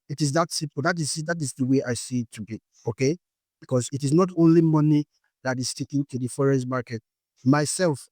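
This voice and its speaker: A man's voice: fairly high pitch, a little mellow, dry recording. A Nigerian man with a Nigerian accent, teaching a beginners' course in a step-by-step way and checking in with "okay?" after his points. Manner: explains step by step, tags lines with "okay?". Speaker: forex trading instructor. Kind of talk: explanatory course lesson.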